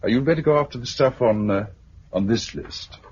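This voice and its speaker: A man with a British accent playing a brisk, affable organiser of survivors in a 1950s radio drama. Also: mid-range voice, a little mellow, lightly acted. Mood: brisk, organising. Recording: muffled, hissy 1950s radio recording.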